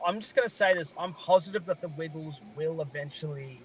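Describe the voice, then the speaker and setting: A man's voice: a little high, brisk, a crowd in the background, poor audio. A man hosting a casual online group chat about a project he directs. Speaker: project director and panel host.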